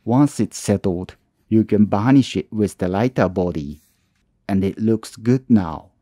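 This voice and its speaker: Man, tutorial voice-over, low pitch, dry close sound. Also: unhurried, mellow, no room sound. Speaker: woodworking channel host.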